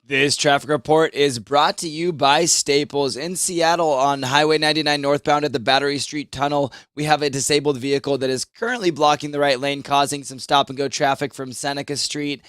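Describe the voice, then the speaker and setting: A man reading a radio traffic report and a sponsor ad in a smooth, even announcer delivery. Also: a little high, a little fast, hardly any pauses, no room sound, studio quality. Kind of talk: scripted traffic report with ad read.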